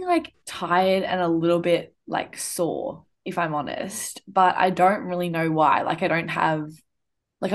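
Low-voiced woman hosting a chatty podcast. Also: vocal fry. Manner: reflective, uses many fillers like "like" and "um". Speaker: podcast host. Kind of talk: casual podcast conversation.

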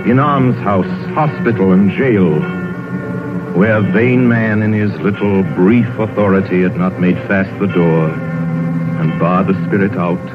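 A man narrating a scripted vintage radio drama with measured, solemn phrasing. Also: low-pitched. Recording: muffled vintage radio broadcast, music behind.

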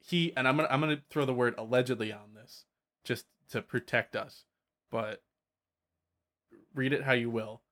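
A man's voice: medium pitch, normal speed, pauses often, fairly bright tone. A man chatting casually as a podcast host about tabletop gaming, often repeating words and trailing off.

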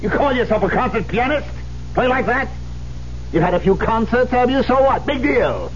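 Volatile, bitter aging pianist, swooping high voice. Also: male voice, sing-song, normal speed. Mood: scornful, furious. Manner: blunt, sarcastic, exacting. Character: bitter, proud, irascible aging male concert pianist, now finished. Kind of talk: 1970s American radio drama acting. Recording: dull 1970s radio broadcast, faint hum.

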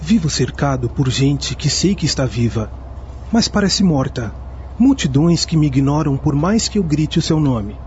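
A man's voice: on the high side, expressive, moderate pace, darkish tone, close to an everyday voice. A man playing a dreamy, smitten teenage boy in a radio soap. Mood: melancholy reciting. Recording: muffled lo-fi, vehicle noise.

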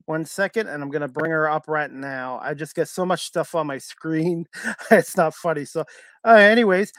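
A man hosting a casual podcast, talking in a halting way with many fillers and restarts. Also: fairly high pitch, fairly bright tone, dry recording. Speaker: podcast host.

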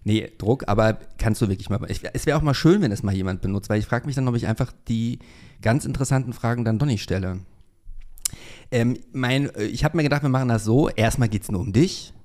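A man's voice: mid-range voice, fairly quick, a little mellow. A man hosting a casual podcast interview, chatty, with many fillers and self-corrections. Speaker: podcast host. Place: room in a Berlin flat.

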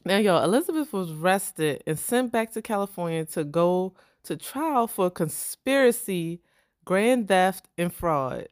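A woman hosts a true-crime podcast in a relaxed, chatty way, talking straight to her listeners as "y'all." Her voice sits on the low side, and the recording is close and dry, with no room sound. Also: measured pace, no echo. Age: 30s. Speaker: podcast co-host.

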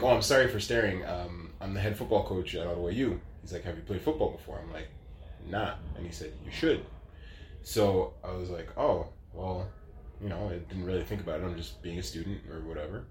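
A man's voice: low-pitched, roomy sound, a little hiss or hum. A man in his late thirties telling his story as an interview guest, casual and rambling, with lots of fillers. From Canada. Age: 30s.

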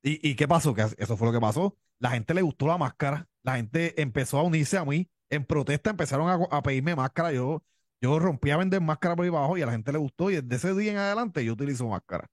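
A man's voice: fairly high pitch, fast talker, no room sound. Tough-talking Cuban man, dry close sound. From Cuba. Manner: boastful, tough-talking, repeats himself. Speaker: pro wrestler guest. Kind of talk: interview storytelling.

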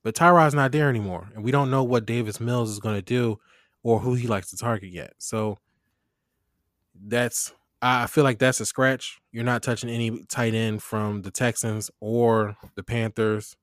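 A man's voice: mid-range voice, fairly even intonation, normal speed, a bit bright, no echo, clean studio sound. A young man hosting a casual sports podcast, talking in a laid-back slangy way and laughing often. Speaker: podcast host. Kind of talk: casual fantasy football chat.

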